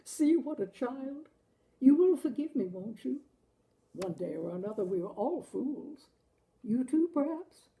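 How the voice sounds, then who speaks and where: Female storyteller as elderly male artist in a storybook, playful and self-mocking, slow, very mellow voice. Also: sits high, animated, gravelly, pitched above the performer's own voice, exaggerated voice, low-quality recording. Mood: amused, apologetic.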